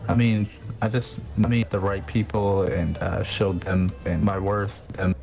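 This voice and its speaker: A man's voice: low voice, flat delivery, unhurried, creaky, a little mellow, reverberant, far from the mic, faint music behind, poor audio. A man improvising a young male mafia hitman with a New York accent, rambling and hesitant as he tells his backstory over voice chat. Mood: boastful. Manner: rambling, hesitant, full of "you know". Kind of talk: improvised character in a game voice chat.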